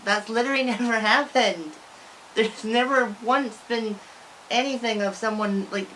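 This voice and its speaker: A woman's voice: fairly high pitch, fairly bright tone, a little hiss or hum, low-quality recording. Young woman vlogging, rambling and giggly. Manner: rambling, many likes and restarts, giggles often. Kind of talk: casual talk to camera.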